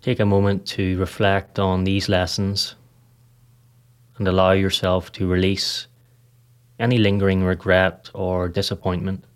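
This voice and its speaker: A man leading a scripted guided meditation in a calm, measured manner, leaving pauses between short phrases. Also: deep voice, clean tone. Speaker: podcast host, meditation guide.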